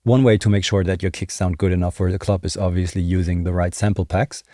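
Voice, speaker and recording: A man's voice: low-pitched, fairly even intonation, fast talker, mellow, no room sound. A young man giving a casual tutorial, thinking aloud in a conversational, explanatory way into a close microphone. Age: young adult. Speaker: music production tutor. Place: small indoor home studio. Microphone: close desk microphone, headphones on. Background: music and drum samples.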